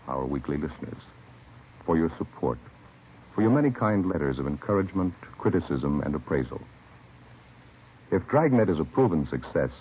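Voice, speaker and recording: A husky American man announcing in a formal, scripted 1950s radio style, solemn and measured. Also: extremely low pitch. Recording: narrow-band vintage radio broadcast.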